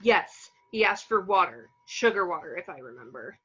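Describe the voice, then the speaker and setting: A woman's voice: lowish voice, very animated, normal speed, sharp tone, husky, heavily acted. A woman reading the part of a polite, baffled farm wife at an amateur table read, homely and conversational, on a lo-fi microphone. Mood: baffled, recounting. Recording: dull, lo-fi microphone sound.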